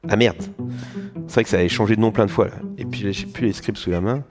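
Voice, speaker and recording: A man's voice: lowish voice, steady tone, fast, over music, close to an everyday voice. A man playing an exasperated, hesitant narrator who wanders off in an informal audio comedy. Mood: grumbling, flustered. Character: muddled, grumbling male narrator who mistrusts his script. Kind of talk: informal, mock-improvised comic narration.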